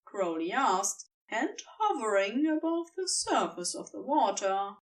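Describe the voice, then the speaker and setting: A woman's voice: fairly high pitch, sing-song, measured pace, far from the mic, poor audio. A woman reading scripted story narration as the narrator of an audio fiction piece.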